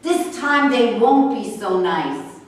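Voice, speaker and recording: A woman's voice: on the high side, lively intonation, slow. A woman in a staged play reading, playing a defiant, emotional horse trainer in an exasperated, pleading manner. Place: echoey indoor room at a staged reading. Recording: distant, very echoey room with noise.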